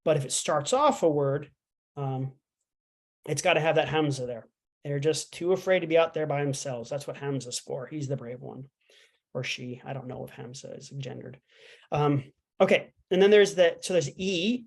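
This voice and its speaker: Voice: mid-range voice, normal speed, vocal fry. A language instructor giving a casual online lesson, explaining letters in a chatty way with fillers and playful asides.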